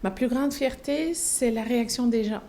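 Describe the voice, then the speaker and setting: A woman's voice: on the high side, normal speed, mellow. A woman, answering interview questions hesitantly with many fillers and restarts. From Belgium. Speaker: business owner, interview guest.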